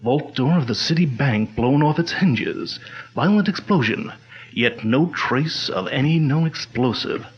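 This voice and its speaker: An actor playing a firm, authoritative crime-fighting hero in a 1940s-style radio drama. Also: male voice, medium pitch, animated, unhurried, husky, highs cut off. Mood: calm. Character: stern, commanding male crime-fighting hero.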